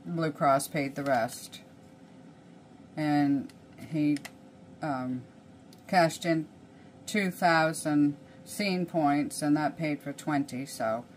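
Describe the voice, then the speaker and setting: An older woman from Canada talks to her phone camera in a cluttered little kitchen, thinking aloud as she goes. Her voice sits low and she speaks at an unhurried pace. A faint hum runs underneath. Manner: rambling, thinking aloud, chatty. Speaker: vlogger. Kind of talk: casual vlog chat. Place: small cluttered home kitchen and bedroom, indoors.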